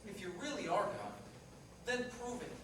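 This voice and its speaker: A man's voice: sits high, wildly swooping pitch, normal speed. A man playing a skeptical, easygoing, plainspoken cab driver in a live staged play reading. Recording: noisy, very echoey hall, distant mic.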